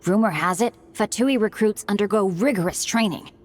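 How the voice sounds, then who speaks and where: A woman's voice: mid-range voice, brisk, faint music behind, lightly acted. A woman voicing an earnest, good-natured, unlucky teenage boy adventurer in a video game, with a boyish voice. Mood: sincere.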